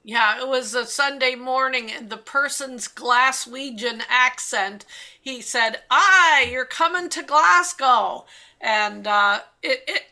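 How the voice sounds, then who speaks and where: A woman chimes in with chatty, amused asides beside her husband's talk. Her voice sits somewhat high and sounds bright, heard over a video call. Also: slow. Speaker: guest, co-presenter. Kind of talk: casual chat.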